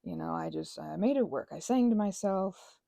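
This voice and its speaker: Give me a creaky-voiced, animated woman rambling casually. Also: lowish voice, moderate pace, dry recording, clean studio sound. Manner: meandering, self-deprecating storytelling with acted-out asides. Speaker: host of a crafting ramble and ASMR channel.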